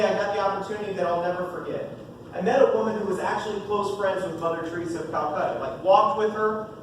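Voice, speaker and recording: A youth minister in his thirties with a booming voice gives a joking, upbeat talk to a room of parents. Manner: joking, upbeat, talks straight to the audience. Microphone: no mic, heard from far off. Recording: echoey room from far off, noisy.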